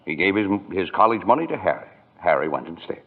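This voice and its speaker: A narrow, tinny radio recording presents a kindly storyteller. His voice is deep and moves expressively as he narrates and explains in 1940s radio drama style. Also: very animated, quick pace, exaggerated voice. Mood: kindly, informative. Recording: tinny vintage radio broadcast.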